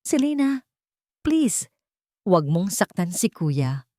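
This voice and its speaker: A woman's voice: fairly low pitch, sing-song, slow, warm tone, no room sound, clean studio sound. A woman voicing an anxious but brave young heroine with an urgent, pleading manner in a dramatic audio story.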